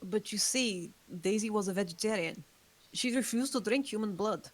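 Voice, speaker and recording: A woman reads a vampire who has outlived everyone she loved, speaking in a measured, somber way. Her voice sits at an ordinary middle pitch, and the tone stays guarded and dry. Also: natural voice, rough recording. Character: ageless female vampire, guarded and remorseful. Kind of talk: table read.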